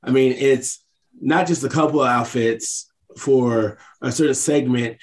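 A man co-hosting a casual interview, phrasing his questions in a deliberate, halting way. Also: mid-range voice. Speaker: interviewer, co-host.

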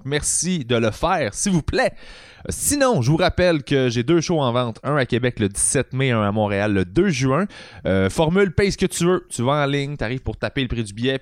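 A man with a Quebec French accent hosts a casual podcast, teasing his friends in a laid-back, slangy way. His voice sits at an ordinary pitch and is recorded close and dry, with no room echo. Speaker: podcast host. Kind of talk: casual chat.

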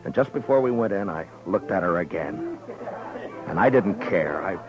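A laconic American frontier marshal with a very deep voice. He talks plainly and haltingly, tender under the weariness. It sounds like a dull 1950s radio western with loud music behind. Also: animated. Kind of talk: 1950s radio western acting, first-person narration and dialogue.

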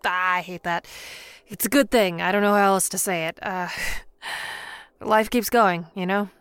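A woman's voice: mid-range voice, fairly even intonation, normal speed, a bit bright, clear, resonant tone, no room sound, lightly acted. A woman playing a blunt, world-weary yet hopeful companion in a radio drama, casual and wry. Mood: wry reassurance.

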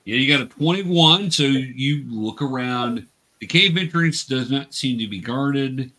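A man with a bright, forward voice works as game master for a tabletop role-playing game. He narrates what happens to the players and patiently explains how the rules work. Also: medium pitch, measured pace.

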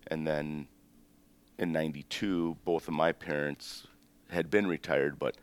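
Very deep-voiced man in his fifties. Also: a little slow, clear, resonant tone, no room sound. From born in Greece, raised in the United States. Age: 50s. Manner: relaxed, rambling, with frequent ums and self-corrections. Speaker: restaurant owner, guest. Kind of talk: casual interview storytelling.

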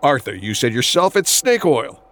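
Indignant sales executive: principled, lively swings in pitch, campy audio drama. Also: male voice, normal speed. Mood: outraged.

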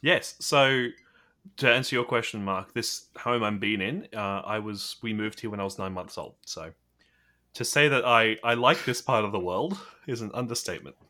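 A man's voice: on the low side, normal speed, sharp tone. A man from Australia co-hosting a podcast, teasing dryly in casual chat. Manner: dry, teasing. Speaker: podcast co-host.

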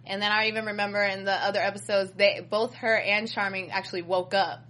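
A woman's voice: medium pitch, little pitch movement, quick pace, fairly bright tone. A woman chatting casually as one of the hosts on an after-show panel. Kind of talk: casual chat.

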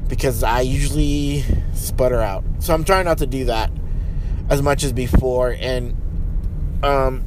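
A man's voice: medium pitch, darkish tone, poor audio. Man, podcast host, sniffly cold voice, rambling, laid-back, car noise behind. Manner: rambling, laid-back, with sighs and filler words. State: sniffly, slightly hoarse from a cold. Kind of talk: casual solo monologue. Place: in a car, driving at night.

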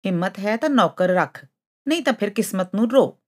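A haughty storybook girl made of ginger root, acted out by a woman narrator. She pitches her voice up, scolds indignantly and boasts of her high station with open scorn. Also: expressive, brisk, darkish tone, lightly acted. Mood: haughty anger.

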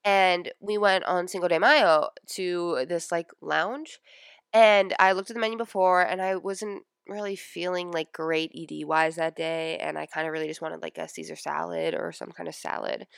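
A young woman in her twenties chats on her podcast with a congested, stuffy nose. Her lowish voice has plenty of vocal fry, and she fills her talk with "like" and "um". The sound is dry and close, with no room echo. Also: fairly even intonation, normal speed, fairly bright tone. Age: 20s. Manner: chatty, lots of "like" and "um". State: stuffy nose from a sinus infection. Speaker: podcast host. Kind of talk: casual personal podcast chat.

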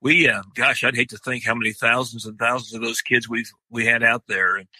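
An older man with a deep, loud voice, talking slowly in a podcast interview with many pauses and restarts. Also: steady tone, no room sound. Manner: slow, halting, many fillers and restarts. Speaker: guest, outdoor writer.

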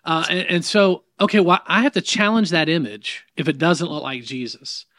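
A man's voice: a little high, moderate pace, a crowd in the background. A middle-aged man with a Southern US accent, a minister talking as an interview guest in an emphatic, preacherly way with many restarts. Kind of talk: casual interview conversation. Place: in person, New York.

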